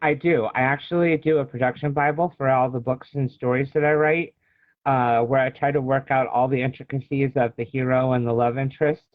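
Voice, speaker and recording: American man, mid-pitched voice, casual storytelling interviewee, phone-like narrow audio, dry. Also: fairly even intonation, a little fast, dry recording. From United States. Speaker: guest author. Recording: thin phone-like call audio.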